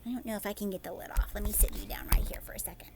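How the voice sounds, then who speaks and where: A woman's voice: medium pitch, fairly even intonation, rapid-fire, vocal fry, whispered. A woman teacher doing a children's read-aloud, adding chatty explanations in simple words and often checking in with short questions. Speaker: teacher reading a picture book aloud to children.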